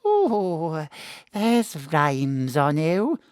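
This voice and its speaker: Swooping, flirty Welsh mam. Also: female voice, deep voice, sing-song, very slow, darkish tone, clear, resonant tone, no echo, studio quality, heavily acted. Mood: admiring. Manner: theatrical, gossipy, muddles her words. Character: doting, flirty, scatterbrained Welsh mam, middle-aged. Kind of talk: comic audio drama acting.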